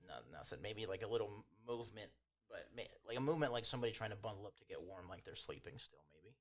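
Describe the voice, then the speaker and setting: A man acting as game master, improvising tabletop game narration in an informal, hedging, unhurried way. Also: on the low side, animated.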